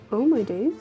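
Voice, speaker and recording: A woman's voice: sits high, warm tone, husky, dull audio. A woman streamer chatting casually on a livestream while playing a story game.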